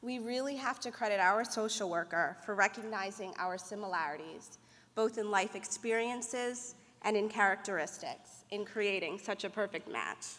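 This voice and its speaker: A woman's voice: mid-range voice, animated, moderate pace, fairly bright tone. A young woman from the United States giving an earnest, prepared speech as a mentor presenting an award. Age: young adult. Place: banquet hall at an awards dinner. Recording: echoey banquet hall.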